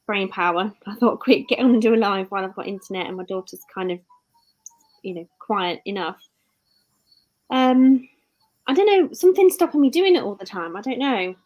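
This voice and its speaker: A woman's voice: fairly low pitch, a little mellow, rough recording. A British woman in her thirties hosting a live stream, talking in a rambling way and often correcting herself. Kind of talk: casual live-stream chat.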